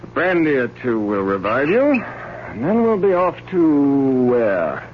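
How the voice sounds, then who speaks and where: An actor playing a young, cold, arrogant gentleman devoted to pleasure, in a theatrical old radio drama style. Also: medium pitch, huge pitch swings, takes it slowly. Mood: restless, commanding. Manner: haughty, callous, theatrical. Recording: dull, noisy old radio recording with hum.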